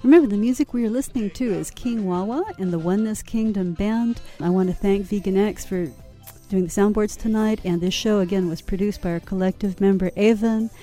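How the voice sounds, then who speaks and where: Mellow woman signing off a radio show. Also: medium pitch, a little fast, soft background music. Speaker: radio co-host.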